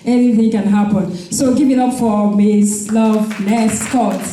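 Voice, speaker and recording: A woman hosting a community event who loudly introduces the next speaker. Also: mid-range voice, fairly even intonation, measured pace, crowd noise, noisy background. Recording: echoey hall, voice from far off.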